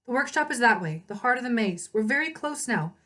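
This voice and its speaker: A woman's voice: fairly high pitch, lively intonation, a little fast, pushed and bright, voice pushed up. A woman reading the part of an earnest, self-assured teenage girl guide in a storybook, plain-spoken and sincere. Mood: earnest, apologetic.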